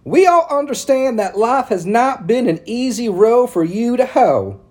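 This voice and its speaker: A man doing a shrill, preachy townswoman. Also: sing-song, measured pace, exaggerated voice. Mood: stern lecturing. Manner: lecturing, falsetto. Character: stern, pushy middle-aged townswoman, preachy.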